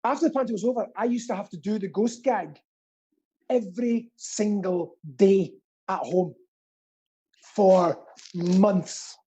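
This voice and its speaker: A man with a husky voice, hosting in a casual, jokey and theatrical way. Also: high voice, unhurried, pauses often, mellow, poor audio. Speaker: quiz host and pantomime performer. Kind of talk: casual comic chat. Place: indoors at home, remote call.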